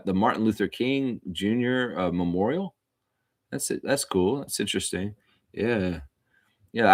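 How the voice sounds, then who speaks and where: A man with a fairly low voice calls a basketball game as a live stream host. His play-by-play is casual and informal. He drifts into chatty asides between calls, talking as if to fellow fans watching along.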